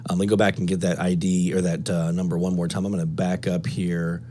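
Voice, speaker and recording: A man's voice: low voice, little pitch movement, a little fast, heavy background noise. A man presenting a casual screen-walkthrough tutorial, thinking aloud step by step. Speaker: presenter of a radio DXing tutorial.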